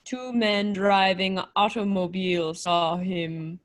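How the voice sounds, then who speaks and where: A woman playing an emotionless living machine in a radio play, speaking in flat, clipped, blunt phrases. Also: mid-range voice, natural voice. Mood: flat, matter-of-fact. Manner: flat, slow, clipped delivery. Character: emotionless living machine man, blunt, menacing, primitive speech. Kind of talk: old-time radio play acting.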